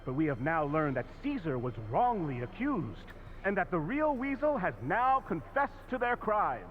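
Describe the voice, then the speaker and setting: A man playing a theatrical, excitable male stage announcer in a video game, showy in delivery. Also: high-pitched, normal speed, phone-quality sound, slight background noise. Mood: grave.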